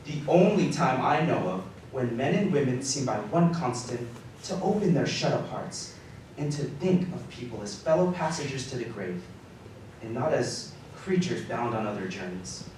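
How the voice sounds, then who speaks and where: A man playing a cheerful, warm-hearted nephew in a live radio drama, earnest, hearty and declamatory. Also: medium pitch, lively intonation, normal speed, natural voice. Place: live community theatre performance. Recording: roomy live stage, distant mic, faint noise.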